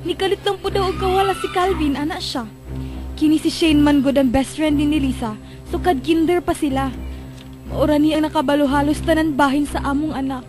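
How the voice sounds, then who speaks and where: Radio drama: high-voiced young Filipina played by an actress, obsessively in love, intense and unstable, music underneath. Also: female voice, steady tone, fairly quick, close to an everyday voice. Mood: guarded, casual. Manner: intense, swinging between casual and unhinged. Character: obsessive, possessive young woman hiding deadly jealous love. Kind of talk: melodramatic radio drama acting.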